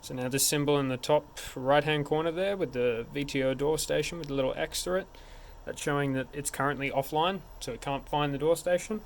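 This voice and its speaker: Man giving a tutorial over background noise. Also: mid-range voice. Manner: informal, step-by-step explaining. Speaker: tech support instructor. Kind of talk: hands-on tutorial narration.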